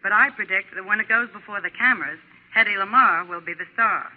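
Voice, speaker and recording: A woman plays a brisk American lady gossip columnist of 1940s radio, chatty and knowing. The sound is narrow, as from an old broadcast. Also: mid-range voice, close to an everyday voice. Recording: band-limited 1940s radio recording.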